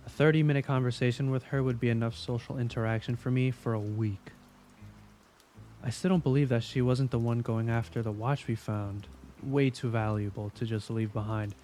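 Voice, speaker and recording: A man as a mellow-voiced, weary police investigator. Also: medium pitch, steady tone, normal speed, a little hiss or hum, lightly acted. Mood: weary, musing. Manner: dry, blunt, sarcastic. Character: weary, skeptical male police investigator, gruffly impatient. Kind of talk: audio drama acting.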